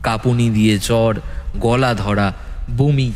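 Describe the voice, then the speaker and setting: A man narrating a scripted horror story in a dramatic, expressive and suspenseful storytelling manner. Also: mid-range voice, slow.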